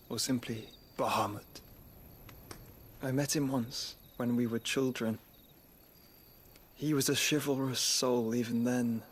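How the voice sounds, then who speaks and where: An actor playing a gentle, solemn young nobleman, weary and wounded, speaking formally in a soft, breathy voice near a whisper. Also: mid-range voice, a little hiss or hum, lightly acted. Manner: measured, formal, reflective speech. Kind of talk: game cutscene acting.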